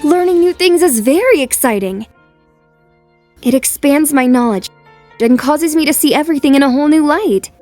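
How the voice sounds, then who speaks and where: A woman's voice: high-pitched, animated, normal speed, a little mellow, soft background music. A woman playing a composed, determined young noblewoman in an anime dub, speaking politely and reflectively. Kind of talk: anime dub acting with inner narration.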